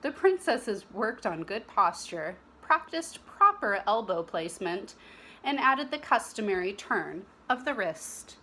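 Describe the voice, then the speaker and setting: A woman's voice: mid-range voice, big pitch swings, unhurried, pushed and bright, slight background noise, rough recording. A woman narrating a children's storybook expressively, with teacherly asides to young listeners. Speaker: storybook reader.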